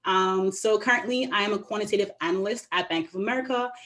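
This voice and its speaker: A woman's voice: medium pitch, fast, fairly bright tone. A young woman in her twenties from Jamaica introducing herself on a panel over a video call, upbeat and earnest. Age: 20s.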